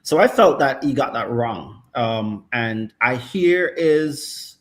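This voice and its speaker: A man's voice: medium pitch, expressive, slow. A middle-aged man analysing football in casual chat, thoughtful and checking in with "right?" often. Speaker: football pundit.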